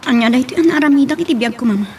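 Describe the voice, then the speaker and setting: A woman's voice: sits high, racing, far from the mic, a little hiss or hum, close to an everyday voice. A woman with an Ilocano Filipino accent playing a distressed, pleading young wife and daughter in an audio drama. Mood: distraught, tearful. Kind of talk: Ilocano radio-style drama acting.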